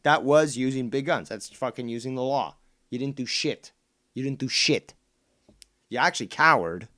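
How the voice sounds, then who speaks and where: A man's voice: mid-range voice, moderate pace, sharp tone. A Canadian man whose first language is Spanish, hosting and arguing back at a clip in a combative, profane, sarcastic way. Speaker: streamer host. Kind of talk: heated commentary on a clip.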